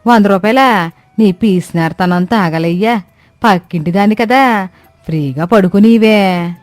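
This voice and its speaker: A woman voicing an astonished, nosy village neighbour in a cartoon story, with drawn-out exclamations and questions. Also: mid-range voice, a little mellow, no room sound, faint music behind, close to an everyday voice. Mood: pleading. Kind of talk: Telugu comic cartoon story acting.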